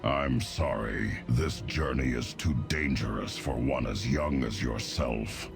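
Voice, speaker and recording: A man playing a huge, stoic, honourable hunter hero in a fantasy game, speaking slowly and tersely in a gravelly voice. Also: extremely low pitch, over music, full character voice. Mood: stoic, solemn. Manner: slow, terse, solemn. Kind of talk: video game character dialogue.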